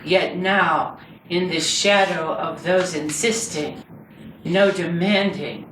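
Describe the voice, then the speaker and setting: A woman reading her own poetry aloud live, with measured, deliberate and dramatic phrasing. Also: on the low side, fairly bright tone. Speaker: poet reading own work. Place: indoor reading before a group. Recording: roomy, distant mic with background noise.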